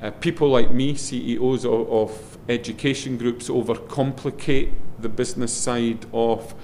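A middle-aged man with a naturally loud voice chats in an easygoing, rambling way, pausing often on ums and picking his sentences up again. His pitch sits in the ordinary middle, and a faint rumble of noise runs under the recording. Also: steady tone. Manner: rambling, with frequent ums and restarts. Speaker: guest, head of a schools group. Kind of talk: relaxed conversational interview.

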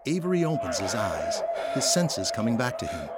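A man's voice: mid-range voice, sing-song, normal speed, heavy background noise. A man narrating an audio drama in a scripted, dramatic present-tense style.

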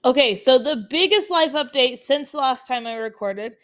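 A woman's voice: sits high, lively intonation, normal speed, a bit bright. Chatty young woman on a laptop mic. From Utah. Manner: chatty, rambling, upbeat with frequent fillers. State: voice still recovering from colds. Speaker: podcast host. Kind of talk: casual solo life-update podcast. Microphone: laptop built-in mic.

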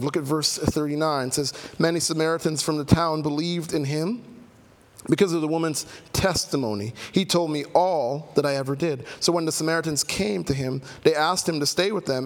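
A man delivering a sermon in a church, his fairly high voice carried by a long reverberating hall. He reads scripture aloud, then explains it with an animated, emphatic delivery and light joking asides. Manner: animated, rising to emphatic highs, playful asides. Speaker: pastor.

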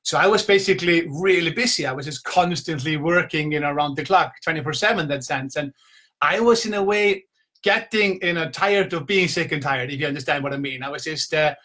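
A man's voice: on the high side, a little fast, sharp tone. A middle-aged man from Iceland with an Icelandic accent, giving an informal motivational talk from home in a conversational, rambling storytelling manner. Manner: conversational, rambling storytelling with repeated "you know". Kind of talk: informal motivational talk to a live online audience. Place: at home, indoors.

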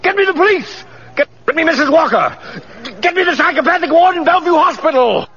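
A man's voice: very high voice, animated, fast talker. A man playing a bossy, panic-prone store official in a muffled 1940s radio drama. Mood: hysterical, panicked. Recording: muffled old radio recording.

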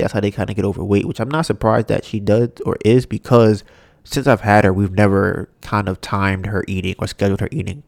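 Podcast host, young man, congested and nasal, lowish voice, animated casual rant. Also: vocal fry, no room sound. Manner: animated, mocks others in high put-on voices, swears.

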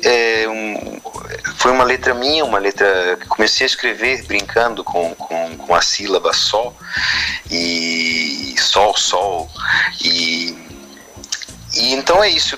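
An Argentine-born musician being interviewed, speaking Portuguese with a mixed Brazilian and European Portuguese accent, thoughtful and halting with many restarts. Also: male voice, fairly low pitch, bright, forceful tone, poor audio. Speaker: musician guest. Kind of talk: reflective interview answers.

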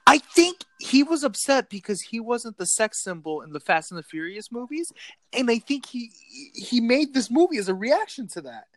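A woman's voice: fairly high pitch, sing-song, moderate pace, no echo. A woman casually recapping a movie on a podcast, laughing often and making sarcastic asides. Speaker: podcast co-host.

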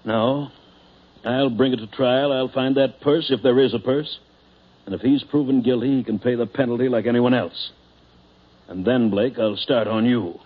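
A man plays a principled, stern district attorney in an old radio drama. He sounds grave and authoritative, husky, in a middle register. The recording is dull and muffled. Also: medium pitch, fairly even intonation, moderate pace, lightly acted. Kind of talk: 1940s radio drama acting.

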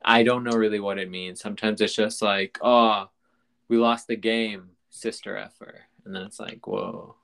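A man's voice: lowish voice, steady tone, moderate pace, gravelly, a bit bright. A man hosting a podcast in casual chat, rambling and often restarting his sentences. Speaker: podcast host.

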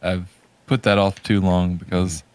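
A man's voice: deep voice, flat delivery, unhurried, darkish tone, smooth. A man chatting casually about video games as a podcast co-host, in a hesitant, laid-back way.

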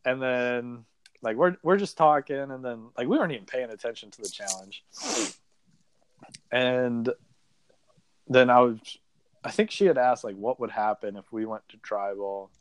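A man's voice: medium pitch, normal speed, long pauses, slight background noise. A young adult man telling a story as a podcast guest in a casual chat, filling his talk with "like" and acting out quotes. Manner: chatty, peppered with "like", acts out quotes. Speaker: podcast guest, reality game contestant.

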